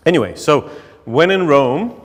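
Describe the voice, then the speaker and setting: A man from Denmark, a native Danish speaker, giving a casual conference talk with many pauses and fillers. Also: on the high side. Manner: relaxed, hesitant, many fillers. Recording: long hall reverb.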